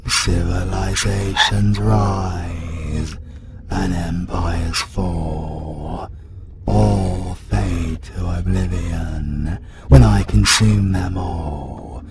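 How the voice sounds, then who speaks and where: A man voicing an ancient, menacing world-devouring demon in an audio roleplay, British, with a slow, brooding, incantation-like delivery. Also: fairly even intonation, vocal fry, over music, exaggerated voice. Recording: loud rumbling noise behind.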